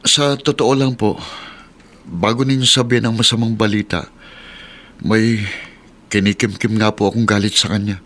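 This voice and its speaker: An actor playing a sincere male employee, earnest, measured and respectful, in a radio drama. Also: on the low side, steady tone, fairly bright tone, close to an everyday voice. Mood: hesitant. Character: sincere male employee, once resentful, now forgiving. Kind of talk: Tagalog radio drama acting. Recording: radio drama with music bed.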